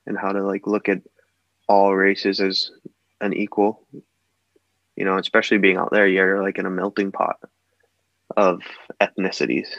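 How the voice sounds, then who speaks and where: A man in his twenties from Hawaii talks as a podcast guest, hesitant and searching, with many fillers and restarts. His voice sits very low with a gravelly fry. The sound is dry and a little dull and muffled. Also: a bit bright, no echo. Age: 20s. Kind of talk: casual interview answers.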